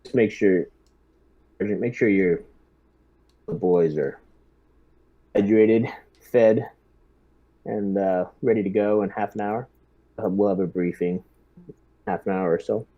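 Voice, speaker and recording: A man playing a tabletop roleplaying game, giving casual, halting orders in character as a superior officer. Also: on the low side. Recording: dull lo-fi microphone.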